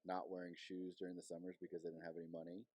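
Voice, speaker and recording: A young man hosts a casual podcast in a low, mellow voice. He talks in a relaxed, candid way and swears offhandedly. The studio sound is close and dry. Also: very fast, no room sound. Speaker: podcast host.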